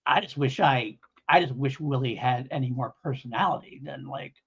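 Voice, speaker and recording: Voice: medium pitch, moderate pace, slightly rough. A podcast co-host in a casual review discussion, talking in a hedging, rambling way and thinking aloud. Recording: dull lo-fi audio.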